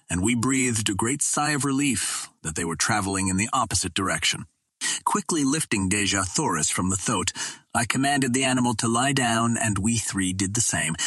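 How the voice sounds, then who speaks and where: A man reads adventure fiction as an audiobook narrator. His voice sits low and has a husky, rough-edged grain. The delivery is measured and literary, captured in a dry, close space. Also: a bit bright, dry recording. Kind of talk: scripted narration of a classic adventure novel.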